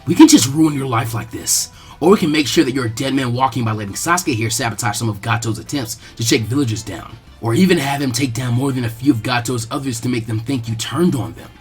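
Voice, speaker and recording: A man's voice: mid-range voice, fast talker, soft background music, lightly acted. A man voicing a brash, cocky ninja boy with a taunting, tough manner in a story reading. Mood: threatening.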